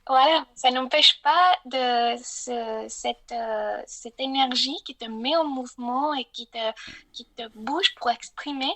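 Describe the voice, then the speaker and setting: High-voiced woman. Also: slow, fairly bright tone. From Mexico, grew up in Mexico City. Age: middle-aged. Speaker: podcast guest, architect. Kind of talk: conversational life story in an interview.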